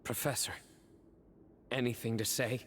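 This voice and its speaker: A man's voice: mid-range voice, steady tone, unhurried, a bit bright, a little hiss or hum, natural voice. An actor playing an earnest, protective young man in a video game, speaking directly and with emphasis. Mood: probing. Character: earnest young male student, blunt and protective. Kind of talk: dramatic video game voice acting.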